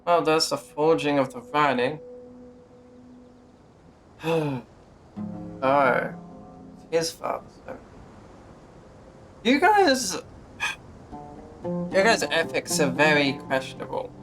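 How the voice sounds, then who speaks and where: A man's voice: on the high side, sing-song, takes it slowly, long pauses. A man giving casual live reactions as a game livestreamer. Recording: game music behind the voice.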